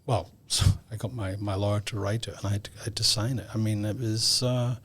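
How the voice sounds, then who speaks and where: Middle-aged male podcast guest, fairly low register, reflective and understated personal storytelling with hesitations. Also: fairly even intonation, fairly quick, a bit bright, husky.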